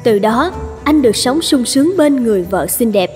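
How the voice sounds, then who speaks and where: Mellow female fairy-tale storyteller. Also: on the high side, lively intonation, moderate pace, big-room sound, faint music behind. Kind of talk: scripted fairy-tale narration.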